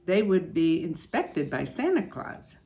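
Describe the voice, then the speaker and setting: An older woman reading a children's storybook aloud in an expressive, unhurried way. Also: lowish voice. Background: music.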